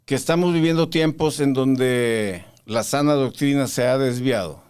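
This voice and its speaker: Male podcast host, smooth clear tone, conversational and probing. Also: medium pitch, a little slow, darkish tone. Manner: probing, interrupts to challenge. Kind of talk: casual conversational interview.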